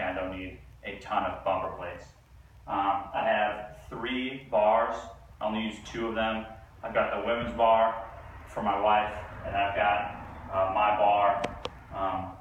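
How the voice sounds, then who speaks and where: A man's voice: on the low side, slight background noise. Young man in an echoey garage. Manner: relaxed, many ums and uhs. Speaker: home gym vlogger. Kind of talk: casual walkthrough tour. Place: two-car home garage gym, indoors. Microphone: camera mic from a few meters away. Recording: echoey garage, distant from mic.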